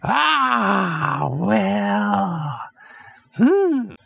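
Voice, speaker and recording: A man's voice: high-pitched, very animated, very slow, creaky, bright, forceful tone, voice pushed up, exaggerated voice. A man voicing a sly, gloating old female space witch captain in a bedtime story, with a cackling voice.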